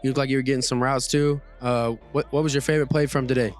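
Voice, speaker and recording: A man's voice: mid-range voice, fairly even intonation, quick pace, soft background music. A young man, a football player, asking a teammate friendly questions in a sideline interview. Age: young adult.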